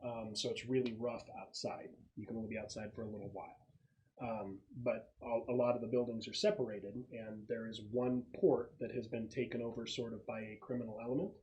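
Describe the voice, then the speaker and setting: A man's voice: medium pitch, flat delivery, brisk, dark tone, low-quality recording. Male game master, mid-range voice, explains scenario details conversationally at a gaming table. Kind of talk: narrating a tabletop roleplaying game.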